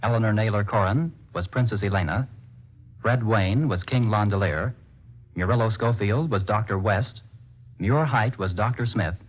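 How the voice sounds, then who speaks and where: A formal American man announces in measured 1940s radio style. His voice is fairly low. The old recording sounds dull and lo-fi.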